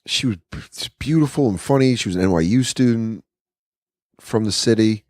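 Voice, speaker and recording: A man's voice: on the low side, normal speed, darkish tone, no room sound, clean studio sound. A man in his thirties, a comedian guest, telling candid, profane stories in casual podcast chat from an apartment. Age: 30s. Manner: candid, profane storytelling with acted-out quotes.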